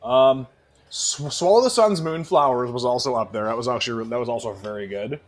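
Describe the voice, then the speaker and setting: A man with a mid-pitched voice chats casually as a podcast co-host. He talks in loose, emphatic bursts, doubling back on phrases and dropping swear words freely. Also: a little fast. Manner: profane, hesitant, restarts phrases emphatically. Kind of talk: casual chat about music.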